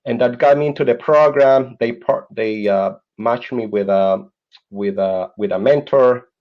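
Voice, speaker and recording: A man's voice: mid-range voice, measured pace. A man from Colombia with a Colombian Spanish accent, in his thirties, earnestly telling his life story as a podcast guest and often repeating words while he searches for them. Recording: dull lo-fi sound.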